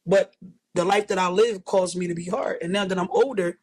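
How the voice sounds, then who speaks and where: A woman in her thirties from West Philadelphia talks in a raspy voice with a blunt, hardened edge. She speaks candidly as an interview guest, seated on a sofa in a small indoor studio. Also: fairly low pitch, steady tone, brisk, darkish tone. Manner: blunt, tough, street-worn storytelling.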